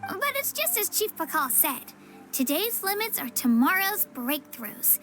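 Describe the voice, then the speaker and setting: Very high-voiced, upbeat girl guide. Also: very animated, unhurried, a bit bright, heavily acted. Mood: earnest, explaining. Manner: friendly, chatty, eager explaining. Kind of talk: video game voice acting. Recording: music behind the voice. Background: game music.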